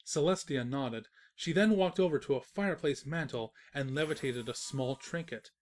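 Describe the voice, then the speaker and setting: A man's voice: a little high, normal speed. A man narrating a scripted comic story in a storytelling manner with wry asides.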